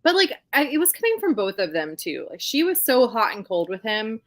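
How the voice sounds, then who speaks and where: A woman's voice: a little high, very animated, fairly quick. A woman co-hosting a casual book discussion, talking in an informal, gushing way. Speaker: book club live show co-host.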